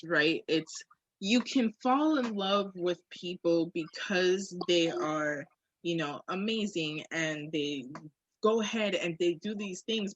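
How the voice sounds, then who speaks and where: A woman's voice: on the low side, a bit bright, slightly rough, no room sound, muffled recording. A woman talking candidly and casually, reflective but emphatic, with some swearing.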